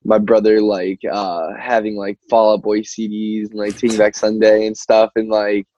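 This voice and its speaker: Low-voiced young American man, muffled audio. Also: little pitch movement, moderate pace, warm tone. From Albany, New York, United States. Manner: rambling, peppered with "like" and "you know", laughs easily. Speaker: band singer, interview guest. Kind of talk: casual chat. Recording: muffled, roomy call-like audio.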